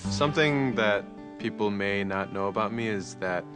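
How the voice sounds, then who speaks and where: Low-voiced young man, native Korean speaker. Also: moderate pace, over music. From born in Chicago, partly raised in Korea. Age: young adult. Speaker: singing contestant. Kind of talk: personal interview for a TV profile.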